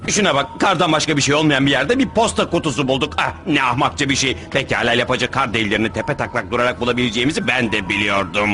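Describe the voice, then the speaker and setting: A man's voice: medium pitch, quick pace, few pauses, bright, forceful tone, soft background music, lightly acted. A man voicing a boastful, scheming cartoon pirate captain with a theatrical, sarcastic, blustering delivery in a dubbed animation. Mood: sarcastic exasperation. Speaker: cartoon voice actor. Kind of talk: animated cartoon dub acting.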